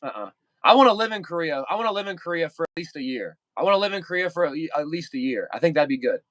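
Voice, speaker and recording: A man's voice: on the high side, very animated, quick pace, fairly bright tone, no room sound. Rambling young man on a livestream. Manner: rambling, repeats phrases, many fillers. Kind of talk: casual livestream chat with viewers.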